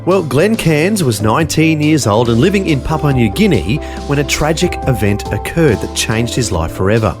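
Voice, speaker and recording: An Australian man hosting a radio show, announcing the episode in an upbeat, scripted style. His pitch moves in an animated way, and clear music plays beneath him. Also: moderate pace, few pauses. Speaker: radio host. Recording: music bed under the voice.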